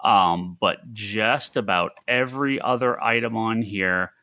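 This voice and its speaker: A man co-hosting a casual podcast on industry news, talking in a halting, thinking-aloud way with emphatic repetition. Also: on the low side, bright, forceful tone. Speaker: podcast co-host who runs a comics store.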